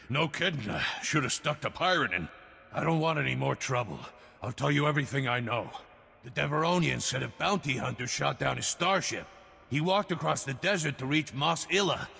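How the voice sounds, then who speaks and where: An actor playing a rough hired thug and former pirate in a video game, talking in a colloquial, grumbling way. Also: male voice, medium pitch, expressive, normal speed, pushed and bright, long reverb tail, exaggerated voice. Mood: cowed, eager.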